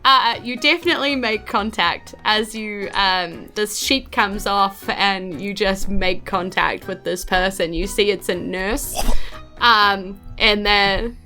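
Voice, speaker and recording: A woman with a New Zealand accent, narrating a tabletop role-playing game as game master in a casual, animated, chatty way. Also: mid-range voice, takes it slowly, faint music behind.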